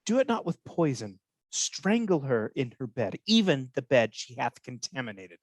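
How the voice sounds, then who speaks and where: A scheming villain and false confidant played by a man in a Shakespearean radio play. He goads and insinuates in a mid-pitched, very animated voice with a dry, close sound. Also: moderate pace, no echo. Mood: cold plotting. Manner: insinuating, goading. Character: scheming, manipulative male villain, a soldier's confidant. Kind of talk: Shakespearean radio drama acting.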